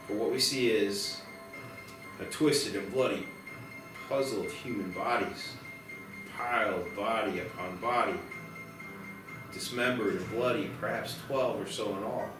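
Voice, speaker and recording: A man reading scripted screenplay directions as the narrator of a table read. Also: fairly low pitch. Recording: very echoey, distant, music behind.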